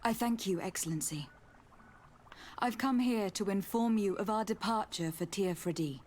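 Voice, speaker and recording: A woman's voice: mid-range voice, moderate pace, darkish tone, close to an everyday voice. An actress playing a young noblewoman diplomat in a video game, formal, tactful and composed. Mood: courteous, measured. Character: poised young noblewoman diplomat, courteous and measured. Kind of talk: scripted video game dialogue.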